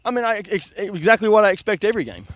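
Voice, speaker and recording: A man's voice: high voice, sing-song, racing, fairly bright tone, a little hiss or hum. A young man, a soccer coach giving a postgame interview outdoors by a river at night, soaked from a celebratory jump, talking earnestly with many restarts and "I mean". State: soaking wet after a river jump. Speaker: high school soccer coach.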